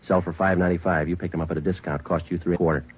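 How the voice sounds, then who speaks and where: Deep, deadpan, relentless police detective. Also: male voice, racing, husky. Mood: cool, deadpan. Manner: clipped, deadpan, methodical questioning. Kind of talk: 1950s radio police procedural acting. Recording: dull lo-fi vintage radio recording.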